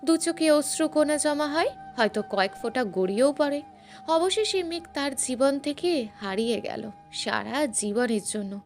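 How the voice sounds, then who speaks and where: High-voiced woman narrating dramatically over music. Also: animated, moderate pace. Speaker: storyteller, narrator. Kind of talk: dramatic scripted story narration. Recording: music bed under the narration. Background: background music.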